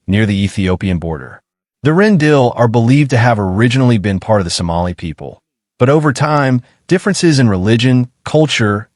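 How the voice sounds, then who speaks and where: A man narrates a documentary in a low, steady voice, measured and explanatory, talking straight to his viewers. The voice-over is close and dry, with no room sound at all. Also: no echo.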